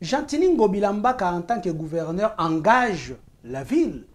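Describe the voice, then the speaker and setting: A woman's voice: medium pitch, very animated, normal speed, a little hiss or hum. A woman journalist from Kinshasa with a Congolese French accent, arguing her case point by point as a guest on a talk show and switching into Lingala phrases. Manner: insistent, argues point by point, mixes in Lingala phrases. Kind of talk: heated talk-show debate.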